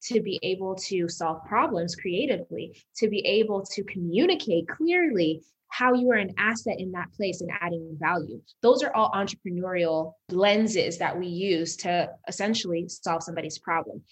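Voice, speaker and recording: Husky-voiced upbeat motivational woman. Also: lowish voice, normal speed, few pauses. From Uganda, raised in Las Vegas. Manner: upbeat, motivational, laughs often. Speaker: guest entrepreneur. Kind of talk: casual podcast interview.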